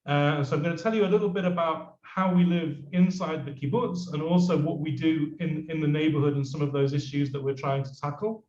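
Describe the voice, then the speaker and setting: A man's voice: fairly high pitch, fairly even intonation, fairly quick, few pauses, darkish tone, a little hiss or hum. A middle-aged man from England with an English accent, giving a casual talk as a guest speaker on a video call, with many fillers and restarts. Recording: roomy, dull lo-fi video call.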